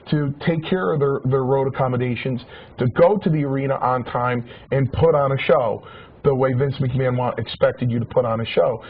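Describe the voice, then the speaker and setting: Rambling man in his forties, lo-fi. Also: medium pitch. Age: 40s. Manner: rambling, restarts, repeats words. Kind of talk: casual talk show chat. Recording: dull lo-fi sound with faint hiss.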